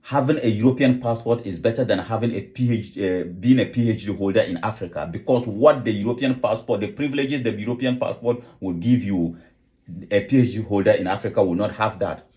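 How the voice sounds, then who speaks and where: Guy with a lowish voice, fired up, preaching to the camera, keeps asking why. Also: a little fast, dark tone. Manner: fiery, repetitive rallying speech with rhetorical questions. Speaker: activist channel host. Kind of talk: impassioned address to camera.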